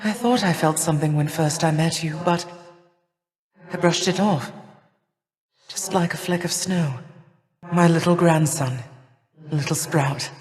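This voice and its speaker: A woman playing an aged, proud yet tender grandmother goddess of winter in a video game, speaking in formal, measured, archaic phrases. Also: low-pitched, darkish tone. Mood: moved, tender. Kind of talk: video game character voice acting. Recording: long reverberant hall echo.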